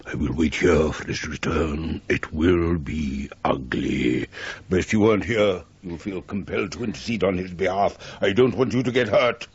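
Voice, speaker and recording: Husky, brooding poet. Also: male voice, low-pitched, normal speed. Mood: grim resolve. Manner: theatrical, declamatory. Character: brooding, grandiose, murderous male poet. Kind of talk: radio drama acting. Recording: muffled old radio broadcast.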